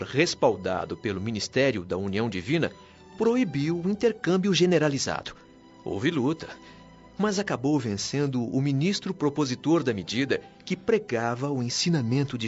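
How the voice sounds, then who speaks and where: A male actor voices a wise Brazilian spirit mentor in a radio play. He teaches patiently and formally, with a very mobile, mid-pitched voice. The sound is a little dull, with music playing underneath. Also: close to an everyday voice. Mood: earnest. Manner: didactic, earnest, formal. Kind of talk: radio drama acting.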